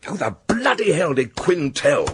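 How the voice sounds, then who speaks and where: Stressed irritable guy, voice all over the place, slow, curt, noisy clicky recording, radio drama. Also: a little high, sing-song. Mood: angry, agitated. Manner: exasperated, curt. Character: agitated, irritable man under pressure.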